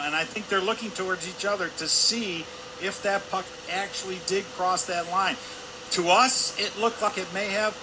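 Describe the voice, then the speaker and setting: A man giving live color commentary on a hockey game in a conversational, discursive manner. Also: high voice. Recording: clear arena noise behind voice. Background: hockey arena ambience.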